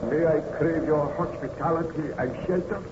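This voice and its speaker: An actor playing a weary, ailing and obsessive baron in an old-time radio drama, halting, courtly and weak. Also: male voice, a little high, lightly acted. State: weak, ill-sounding. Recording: dull, noisy old radio recording.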